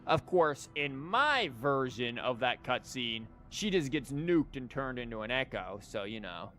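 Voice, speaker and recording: A man's voice: mid-range voice, sing-song, unhurried, fairly bright tone, slight background noise. A man giving casual live gameplay commentary as a streamer, in a wry, joking manner. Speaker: game streamer. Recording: game music behind the voice. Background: video game music.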